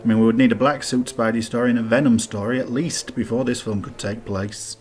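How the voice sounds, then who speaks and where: A man's voice: mid-range voice, fairly even intonation, a little fast, a little mellow. A man giving informal scripted narration as the host of a countdown list video. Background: background music.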